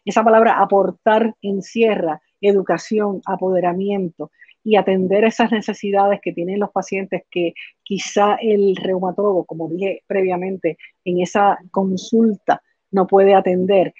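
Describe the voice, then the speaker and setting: Older puerto rican lady explaining stuff in an interview, pauses a lot, kinda muffled dry video call. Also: medium pitch, steady tone, warm tone, husky, no echo. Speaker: interview guest, patient foundation director. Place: living room at home, indoors. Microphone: computer video call.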